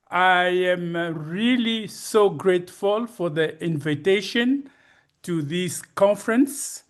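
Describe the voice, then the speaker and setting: A man gives a personal conference presentation in a halting, deliberate, formal and courteous manner. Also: sits high. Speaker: conference speaker, retired ophthalmologist.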